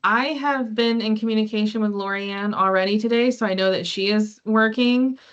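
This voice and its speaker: A woman's voice: medium pitch, fairly even intonation, normal speed. A woman hosting a conference call, answering questions from participants. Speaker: meeting host. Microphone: online conference call. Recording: muffled conference call audio.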